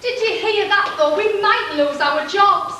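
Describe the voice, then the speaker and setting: A woman's voice: extremely high pitch, a little slow, over music. A woman playing a dim, cheeky comic henchwoman in an amateur stage pantomime, with broad delivery. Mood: explaining. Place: church pantomime stage, far camera. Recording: echoey hall from far off.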